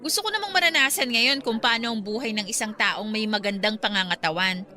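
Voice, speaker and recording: Actress as bright-voiced lovelorn young woman, radio drama. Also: a little high, a little fast, soft background music. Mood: earnest. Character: lovelorn young woman, newly slimmed, hopeful yet hurt. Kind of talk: Tagalog radio romance drama acting.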